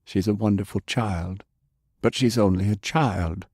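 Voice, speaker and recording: Audiobook role: principled older gentleman acted by a male narrator, low, husky, measured and reproving. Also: no echo, studio quality. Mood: earnest, dry. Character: Browning, principled older gentleman, disapproving and weary. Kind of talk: audiobook character acting.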